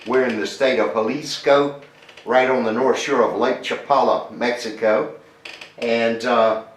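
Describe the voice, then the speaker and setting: A man's voice: mid-range voice, a bit bright. A man chatting as an amateur radio operator, repeating details and spelling call signs phonetically. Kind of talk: conversational ham radio contact. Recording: roomy, echoing ham radio audio.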